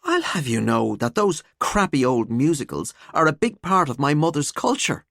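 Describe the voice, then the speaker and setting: A woman's voice: sits low, big pitch swings, normal speed, creaky, a bit bright, dry recording, studio quality, pitched above the performer's own voice, heavily acted. A woman voicing a cheeky teenage schoolgirl in an audiobook, teasing and mock-dramatic. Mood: mock outrage.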